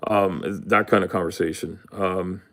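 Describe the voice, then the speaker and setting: Brief: a man, a bit low voice, casual live stream host, rambling and profane. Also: fairly even intonation, moderate pace, fairly bright tone. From Canada. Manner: rambling, profane, full of fillers. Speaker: livestream host. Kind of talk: casual livestream rant.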